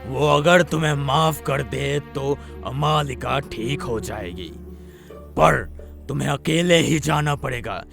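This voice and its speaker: Very gravelly holy man, played by a man. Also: mid-range voice. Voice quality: very gravelly, creaky. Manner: grave, deliberate, instructing. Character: village holy man, grave, knowing, advising. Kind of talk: Hindi moral-story cartoon acting. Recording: music behind the voice.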